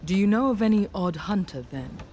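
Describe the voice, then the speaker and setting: A woman's voice: mid-range voice, animated, a little fast, a little mellow, lightly acted. An actress voicing a determined woman adventurer in a video game, speaking directly and plainly. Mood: direct, curious. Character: determined, questioning young woman adventurer hero. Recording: clear background ambience noise.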